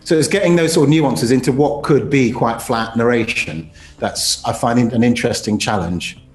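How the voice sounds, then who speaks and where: A man's voice: mid-range voice, moderate pace, roomy sound. A male voice actor talking casually in an interview about his craft. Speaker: voice actor, narrator.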